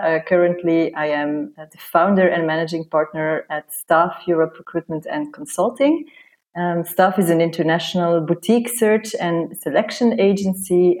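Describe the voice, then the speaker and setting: A woman's voice: fairly low pitch, darkish tone. A woman raised in Belgium, a guest on a podcast, talking in a friendly, chatty way with many fillers. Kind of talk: casual interview chat.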